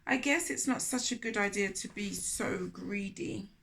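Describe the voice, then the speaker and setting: A woman voicing a greedy, eager male spider from a children's storybook, in an expressive, self-satisfied way. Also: medium pitch, a little slow, gravelly. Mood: rueful.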